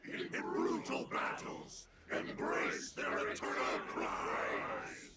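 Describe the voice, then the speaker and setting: An actor playing a savage wolf warlord in a video game, with a gravelly, snarling voice and a grandiose, theatrical delivery. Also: male voice, extremely slow, very noisy. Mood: savage battle fervor. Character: savage wolf warlord rallying his pack to massacre. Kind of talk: dramatic video game villain acting.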